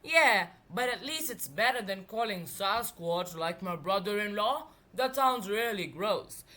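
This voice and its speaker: A woman's voice: mid-range voice, animated, measured pace, sharp tone. A woman voicing a blunt, folksy giant female ape creature in a children's storybook. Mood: matter-of-fact. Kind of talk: children's storybook read-aloud.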